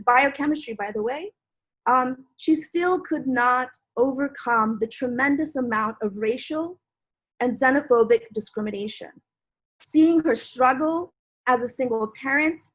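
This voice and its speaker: A woman's voice: high voice, breathy edge, poor audio. A woman in her forties, born in Bangladesh, a law professor giving an earnest, reflective personal speech at an online panel. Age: 40s.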